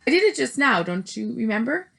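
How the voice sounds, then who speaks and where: A woman's voice: a little high, sing-song, fairly quick, vocal fry, a bit bright, husky, pitched above the performer's own voice, exaggerated voice. A woman doing an eager boy-mouse. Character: clever young boy turned into a mouse, eager.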